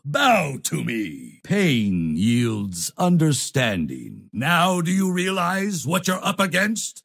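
A man playing a proud, stern zealot knight in a video game, with a raspy, gravelly voice and a pompous, solemn, imperious delivery. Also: on the high side, a bit bright, full character voice. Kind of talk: video game character voice lines.

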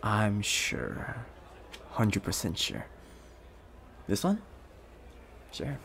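Rich possessive boyfriend for ASMR roleplay, male performer: smooth, coaxing, lowish pitch, noticeable background noise. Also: huge pitch swings, full character voice. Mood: doting, coaxing. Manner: soft-spoken, unhurried, coaxing with pet names. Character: rich possessive young boyfriend, smooth, doting, quietly menacing.

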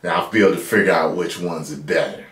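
An American man in his thirties giving casual, playful reaction commentary as a video host, loud and repeating his phrases. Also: low voice, fairly even intonation, fast talker. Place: small room at home, indoors. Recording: roomy, echoey home recording.

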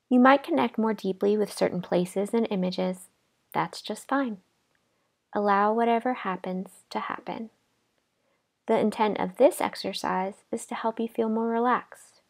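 A woman leading a guided imagery relaxation exercise in a calm, gentle, unhurried manner with pauses. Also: mid-range voice, creaky. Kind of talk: guided imagery meditation narration.

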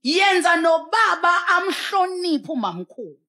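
An emphatic South African woman in a studio talk setting, close on a headset mic. Her pitch moves around in a lively way as she exhorts like a pastor, switching now and then into English. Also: unhurried. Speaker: pastor and talk show panelist. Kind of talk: animated opinionated talk, mixing in English.

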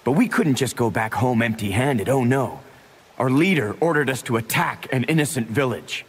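A man's voice: mid-range voice, lively intonation, normal speed, slight background noise, close to an everyday voice. A man with an American accent playing a brooding, bitter young ex-Marine convict, terse and guarded, in a video game. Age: young adult. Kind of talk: dramatic video game cutscene acting.

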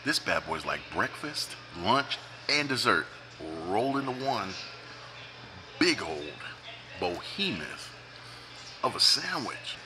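A man's voice: medium pitch, sing-song, takes it slowly, pauses often, noisy background. A man, reviewing food in a casual, joking vlog while eating at a restaurant. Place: restaurant dining room, indoors.

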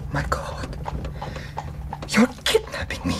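An actor playing an anxious, formal interpreter in a radio drama, hesitant and easily indignant. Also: male voice, takes it slowly, natural voice. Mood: alarmed, indignant. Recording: noisy radio drama sound scene.

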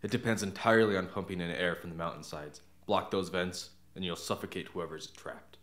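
A man's voice: deep voice, steady tone, fairly quick, fairly bright tone. An actor playing a grave, analytical male adviser in a radio drama. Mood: grave warning.

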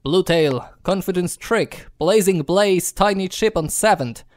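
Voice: medium pitch, unhurried, natural voice. An actor playing a formal, grandiose pony film director in an audio drama. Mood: grave, formal.

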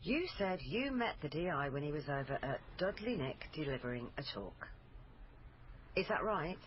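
An actress playing a persistent, matter-of-fact police family liaison officer in a naturalistic radio drama. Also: female voice, sits low, sing-song, moderate pace. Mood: firm, probing. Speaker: actor in radio crime drama. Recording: dull, noisy lo-fi radio recording.

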